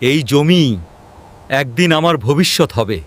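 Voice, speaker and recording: Male actor as young cartoon farmer, earnest, hopeful, very slow delivery, swinging pitch, light music behind. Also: expressive. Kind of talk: scripted cartoon dialogue.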